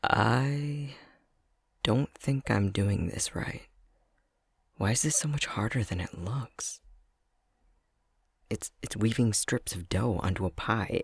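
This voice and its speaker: A young man playing a clumsy, self-conscious fox-shifter boyfriend in an intimate ASMR roleplay, speaking hesitantly with a soft, breathy, gravelly voice. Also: mid-range voice, no room sound, clean studio sound, heavily acted. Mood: frustrated, indignant. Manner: hesitant, stammering, self-deprecating.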